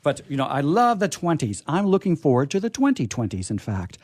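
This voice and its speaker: A man's voice: on the high side, huge pitch swings, a little fast, darkish tone, dry recording. A man hosting a radio show in casual, rambling chat, hesitating and repeating himself as he goes.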